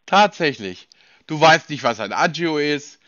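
Scolding high-voiced German man. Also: lively intonation, measured pace, a bit bright, dry recording. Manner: sarcastic, scolding, stern. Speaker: person on the receiving end of a scam call. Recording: dull, dry recording.

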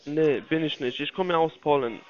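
A non-native speaker, a man with a foreign accent, giving a phone sales pitch in halting, repetitive sentences with broken grammar. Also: a little mellow. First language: not German (non-native speaker). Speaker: investment telephone salesman. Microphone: phone call. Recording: dull, lo-fi phone call audio.

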